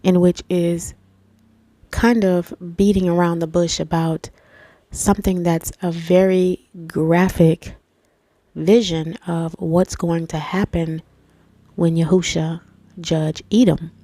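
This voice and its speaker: Stuffy-nosed woman teaching scripture, mellow. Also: fairly low pitch, level tone, slow, dry recording. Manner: conversational, addresses viewers as family and guys. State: stuffy nose from allergies. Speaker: Bible teacher and channel host. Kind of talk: scripture reading and informal Bible teaching.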